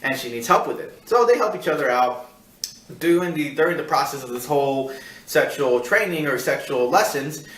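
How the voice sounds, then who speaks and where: A man hosting a casual film review, talking in a rambling, halting way and repeating himself as he searches for words. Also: fairly high pitch, lively intonation, fairly bright tone, a little hiss or hum. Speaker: film review show host. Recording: echoey room, distant mic.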